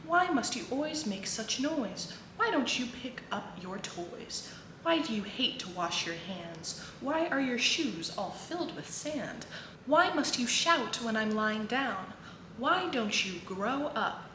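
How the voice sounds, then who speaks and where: A woman's voice: on the high side, very animated, takes it slowly. A woman reading the part of a crabby grown man from a children's poem, nagging and scolding. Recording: echoey room with clear background noise.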